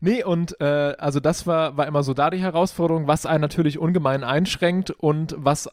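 A man's voice: a little high, fairly even intonation, brisk, dry recording, clean studio sound. A man talking as a podcast guest and sound designer, explaining his work in casual chat.

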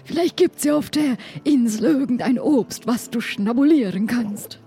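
A woman playing a chatty, excitable crewmate in a fantasy role-playing game, with quaint wording and some searching for words. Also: on the high side, a little slow, soft background music. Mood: caring.

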